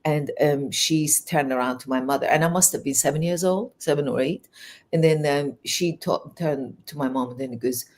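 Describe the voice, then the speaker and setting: Interview guest: Farsi-native woman, Iranian-English accent, earnest, lively storytelling. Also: sits low, normal speed. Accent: Iranian, learned English in England. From Iran. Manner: earnest, animated, with restarts.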